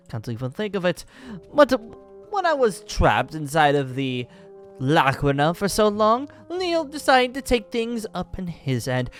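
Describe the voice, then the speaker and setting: A man playing a boastful, jovial ancient dragon slayer wizard in a theatrical, formal manner for an improvised Minecraft roleplay. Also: high-pitched. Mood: amused, explaining. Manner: theatrical, grandly formal, chuckling. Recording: background music under the voice.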